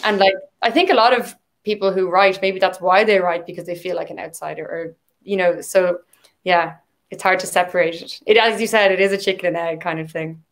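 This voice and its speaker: A woman's voice: fairly low pitch, steady tone, fairly quick. Chatty Irish woman, poet being interviewed. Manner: chatty, with frequent "like" and "um" fillers. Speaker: poet and guest. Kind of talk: casual conversational interview.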